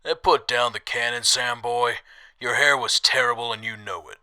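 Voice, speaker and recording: A man's voice: mid-range voice, steady tone, moderate pace, pushed and bright, smooth. A man playing a no-nonsense food-stall cook in a wasteland audio drama, blunt and matter-of-fact. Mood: annoyed.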